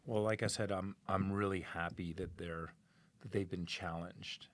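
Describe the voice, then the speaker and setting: A man's voice: low-pitched, steady tone, normal speed. A middle-aged man answering interview questions as a proud parent, rambling with many fillers and restarts.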